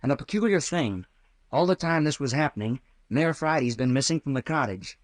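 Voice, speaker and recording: Guy doing nervous college kid in old radio drama, earnest, mid pitch, dry recording. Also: lively intonation, fairly quick, no room sound, lightly acted. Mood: earnest recounting. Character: anxious, earnest college boy caught in danger. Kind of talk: 1940s radio acting.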